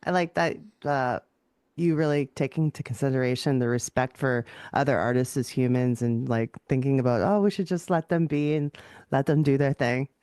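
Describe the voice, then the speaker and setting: A woman's voice: extremely low pitch, moderate pace, dark tone, no room sound, studio quality. A woman hosting a casual interview, speaking in an encouraging, complimentary way. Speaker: podcast host.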